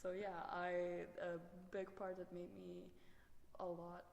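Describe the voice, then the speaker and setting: A woman's voice: lowish voice, monotone, slow, vocal fry. A seventeen-year-old woman from Zagreb with a Croatian accent, chatting casually and a bit giggly as she answers interview questions. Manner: giggly, often laughing as she talks. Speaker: interviewee, exchange student. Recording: echoey hall, faint background noise.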